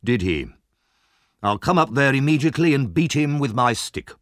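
A man's voice: medium pitch, expressive, measured pace, no echo, clean studio sound, natural voice. A man voicing a pompous, indignant male parish beadle in a storybook reading. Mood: angry. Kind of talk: storybook narration character.

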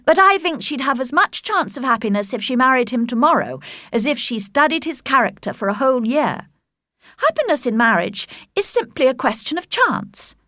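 A woman voicing a sensible, pragmatic young gentlewoman in a storybook, speaking with earnest assurance. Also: high-pitched, big pitch swings, moderate pace, dry recording, studio quality, voice pushed up, full character voice. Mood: brisk, assured.

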